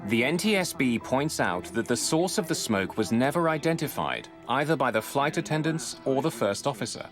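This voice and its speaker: Guy narrating a disaster documentary, grave measured delivery, medium pitch, music behind him. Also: animated. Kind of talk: scripted dramatic documentary narration. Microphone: close studio voice-over mic. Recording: music bed under narration.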